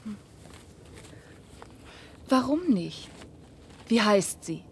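A medium-pitched woman's voice with faint noise behind it. a detective's helpful companion in a radio drama, casual and offhand. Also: big pitch swings, drawn-out words, creaky, full character voice. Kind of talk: German radio drama acting.

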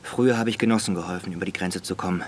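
Casting: male actor as gruff people smuggler, low voice, grudging manner, faint noise behind, radio play. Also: steady tone, racing, lightly acted. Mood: gruff, blunt. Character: blunt, grudging working-class border smuggler. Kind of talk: radio drama dialogue.

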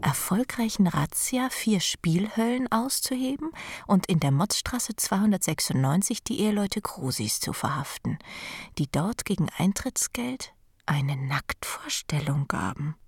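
A woman's voice: fairly low pitch, animated, unhurried, breathy edge, dry recording, heavily acted. A woman reading out news reports as a scripted bulletin within a radio play. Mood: matter-of-fact. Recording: dry, faint music behind. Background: faint music.

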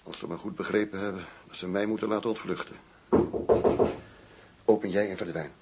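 A man's voice: low voice, fairly even intonation, fairly quick, heavy background noise. An actor playing a controlled male undercover agent in a radio drama, speaking in a terse, businesslike, guarded way. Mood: brisk, tense. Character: controlled undercover agent posing as shady arms-dealing doctor.

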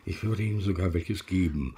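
An actor playing a wily, fawning village fashion merchant in a radio drama, husky and insinuating. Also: male voice, low-pitched, moderate pace, mellow. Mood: smooth, insinuating. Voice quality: gravelly, husky. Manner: obsequious, insinuating. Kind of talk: German literary radio drama acting.

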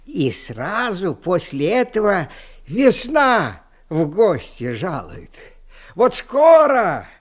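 An actor playing a kindly old grandfather who tells folk tales in a folksy, drawn-out, dramatic way for a fairy-tale radio play. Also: high-pitched, wildly swooping pitch, exaggerated voice. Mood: warm, encouraging. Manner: folksy, drawn-out, dramatic storytelling with sighs and exclamations.

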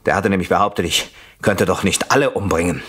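An actor in a radio play playing a jealous, coldly sardonic husband with a mockingly polite, deliberate manner. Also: male voice, sits low, fairly bright tone, husky. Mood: mocking, menacing.

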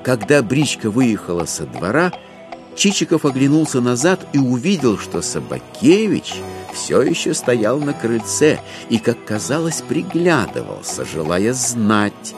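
A man's voice: mid-range voice, big pitch swings, measured pace, music in the background. A man narrating a literary audio drama from a script, as a storyteller.

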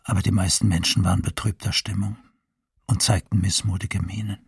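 An actor playing a sombre male city resident giving testimony in a radio docudrama, very raspy and creaky. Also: low-pitched, level tone, fairly quick, no room sound, studio quality, recorded from a distance, full character voice. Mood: sombre, measured. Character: sombre male Kiev resident recalling the invasion.

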